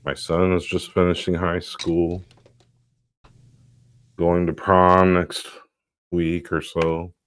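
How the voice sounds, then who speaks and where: Booming, very deep-voiced pastor man. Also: monotone, takes it slowly, pauses often, a bit bright. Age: middle-aged. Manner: easygoing, loose with fillers like "like". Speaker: pastor, guest. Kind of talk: casual interview chat.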